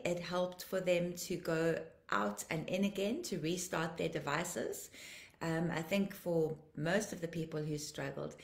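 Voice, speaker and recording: A woman in her fifties, a pastor, sounding tired and a little croaky, warmly greeting viewers by name and praying fervently on a home live stream. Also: lowish voice, roomy sound. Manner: fervent praying, repeating declarations. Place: living room at home, indoors. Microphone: laptop webcam, video call framing.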